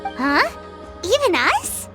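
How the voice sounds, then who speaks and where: A tiny, curious fairy companion for a video game. The voice is extremely high, squeaky and childlike, bouncing all over the scale above clearly audible music. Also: female voice, wildly swooping pitch, extremely slow, full character voice. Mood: startled, sympathetic.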